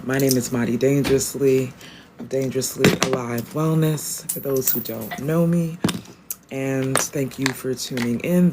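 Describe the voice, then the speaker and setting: Raspy-voiced woman reading tarot live. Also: a bass voice, noisy background. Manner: loose, rambling, with many ums and asides. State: raspy, deeper than usual voice. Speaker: tarot and oracle reader. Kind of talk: casual livestream reading.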